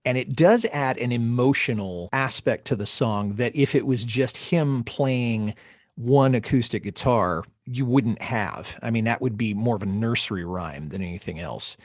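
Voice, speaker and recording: A man hosting a music discussion podcast, speaking in a measured, articulate and reflective way. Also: medium pitch, dry recording. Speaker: podcast host.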